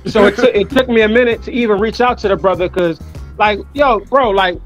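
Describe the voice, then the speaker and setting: A man's voice: sits high, a little fast, soft background music. A man hosting an online interview show over a video call, talking loosely with jokes and swearing. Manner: loose, joking, profane banter. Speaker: host. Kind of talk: casual interview chat. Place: room at home indoors. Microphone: webcam on a video call.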